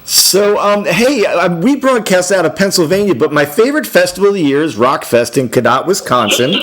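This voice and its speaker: A man's voice: high voice, lively intonation, normal speed, a bit bright, slight background noise. A man hosting a rock radio show, asking easygoing, chatty questions with some ums.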